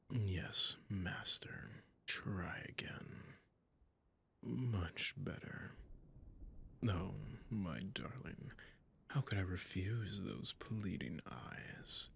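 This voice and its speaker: A man playing a sadistic, possessive vampire master in an intimate ASMR roleplay, speaking in a breathy, husky, purring and condescending way, often in a whisper. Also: sits low, heavily acted. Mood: condescending, commanding, then soothing, possessive.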